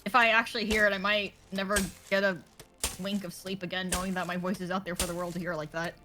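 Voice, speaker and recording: A woman's voice: fairly low pitch, flat delivery, fast, background noise. A woman guesting on a gaming stream, giggling, swearing and stammering through casual chat.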